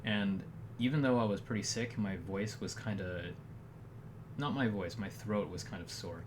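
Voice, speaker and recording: A man with a fairly low voice tells a meandering story straight to his viewers, correcting himself often and adding dry jokes. A steady background noise sits under the recording. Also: normal speed. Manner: rambling, self-correcting, wry deadpan humor. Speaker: vlogger. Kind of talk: casual storytelling monologue.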